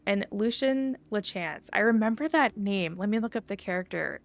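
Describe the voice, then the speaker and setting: A woman's voice: medium pitch, expressive, moderate pace, vocal fry. A woman streaming a game, chatting casually and thinking aloud as she plays. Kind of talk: casual livestream chat while playing.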